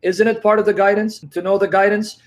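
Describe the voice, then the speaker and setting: A man with a notably high voice argues in a live debate. He presses his point insistently and confrontationally, repeating demands and interrupting as he goes. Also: level tone, a little fast.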